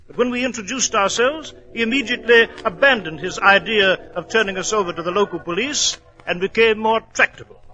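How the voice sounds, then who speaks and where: An actor playing a genial, easily puzzled older British gentleman and storyteller, courteous and old-fashioned, in 1940s radio drama style. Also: high-pitched. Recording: muffled 1940s radio recording.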